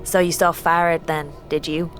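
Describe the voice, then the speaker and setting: A poor young woman of the slums acted by a woman speaks in a low voice. She is guarded and resentful, keeping her answers terse. It is a fantasy audio drama with soft music underneath. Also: little pitch movement, normal speed, natural voice. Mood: bitter, guarded.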